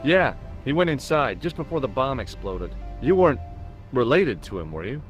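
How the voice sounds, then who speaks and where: A man's voice: mid-range voice, very animated, moderate pace, soft background music, close to an everyday voice. A man voicing a wry, easygoing young American tourist in a video game, conversational and a little sardonic. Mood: chatty, eager. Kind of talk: video game dialogue and narration.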